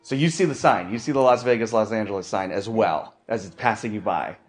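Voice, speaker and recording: A man's voice: fairly low pitch, fairly quick. Playful man running a tabletop game. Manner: playful, laughing, theatrical introductions. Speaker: game master.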